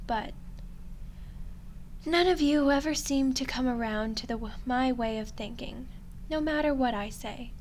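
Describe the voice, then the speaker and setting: A woman playing an ancient female demon in a roleplay ASMR drama, smug, condescending and coolly menacing, speaking deliberately with dramatic pauses. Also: high-pitched, darkish tone, a little hiss or hum, low-quality recording. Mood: musing, revealing.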